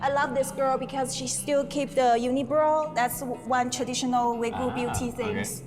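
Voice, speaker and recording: A woman from Urumqi with a fairly high voice, an artist, talks enthusiastically about her work in an interview. Music plays underneath her. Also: fairly even intonation, moderate pace, darkish tone. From Urumqi, China. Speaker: photographer and artist.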